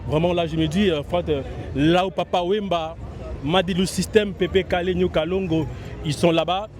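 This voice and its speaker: A man's voice: high-pitched, fairly even intonation, normal speed, darkish tone, faint music behind. A man attending a concert, speaking in an earnest, proud way. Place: outdoors in a crowd. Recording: crowd noise outdoors. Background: crowd.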